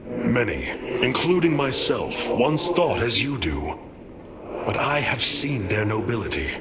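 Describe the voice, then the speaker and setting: An actor playing a noble, solemn alien warrior leader in a video game, with formal, stately and grave speech. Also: male voice, mid-range voice, big pitch swings, measured pace, heavy background noise. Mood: earnest. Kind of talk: dramatic game cinematic acting. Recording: loud cinematic music behind.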